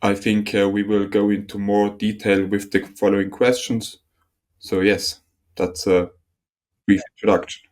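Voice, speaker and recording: Young founder with a low, dark voice. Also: male voice, level tone, measured pace. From northern Italy. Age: 20s. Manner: earnest, methodical, frequent ums. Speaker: startup founder guest. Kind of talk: podcast interview answers.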